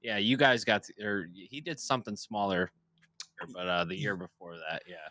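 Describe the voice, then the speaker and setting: A man with a lowish voice hosts a relaxed podcast chat. His tone is forceful and tense, and the sound is close and dry. He talks loosely, swears freely and drifts into tangents. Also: moderate pace, no room sound. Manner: loose and chatty, swears, laughs, wanders off on tangents. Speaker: podcast host.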